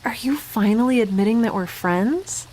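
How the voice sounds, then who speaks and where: A woman playing an injured, stubborn scientist in an audio drama, talking in a halting, defensive, naturalistic way. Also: on the high side, darkish tone, a little hiss or hum, lightly acted, poor audio. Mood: wary, questioning. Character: injured, stubborn, rambling woman scientist, dazed but defiant.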